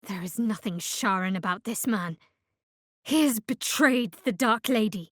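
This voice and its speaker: A woman playing a guarded, sardonic young woman cleric of a dark goddess in a video game, with a dry manner. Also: mid-range voice, measured pace, dry recording. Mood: dry, observant. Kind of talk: video game character voice acting.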